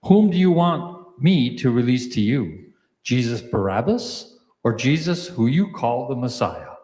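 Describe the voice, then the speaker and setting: A man's voice: medium pitch, big pitch swings, unhurried, darkish tone. Man reading as a declamatory Roman governor, echoing hall. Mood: questioning, insistent. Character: Pilate, questioning Roman governor, adult man. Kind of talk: dramatic scripture reading. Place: large church, live dramatic reading. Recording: echoey church hall with long reverb.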